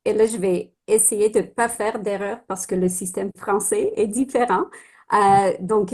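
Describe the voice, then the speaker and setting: A woman's voice: mid-range voice, a little mellow. A woman co-chairing a prize committee, introducing the guest speaker on a video call in a friendly, slightly hesitant way. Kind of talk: webinar introduction.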